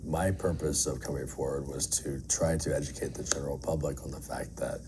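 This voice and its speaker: A man's voice: extremely low pitch, steady tone, a little fast. A middle-aged man giving candid, earnest interview answers in an emphatic, plain-spoken way, as an air traffic controller. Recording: faint background noise.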